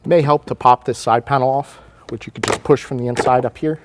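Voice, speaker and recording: American man, forties, medium pitch, casual instructor, step-by-step tutorial delivery, plain-spoken. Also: fairly even intonation, brisk, background noise. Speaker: product installation instructor.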